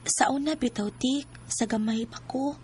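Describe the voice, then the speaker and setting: A woman's voice: high voice, moderate pace, a little mellow, a little hiss or hum, close to an everyday voice. A woman with a Bisaya Filipino accent playing a hurt, troubled young daughter in a radio drama. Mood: pleading, upset. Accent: Bisaya (Cebuano) Filipino.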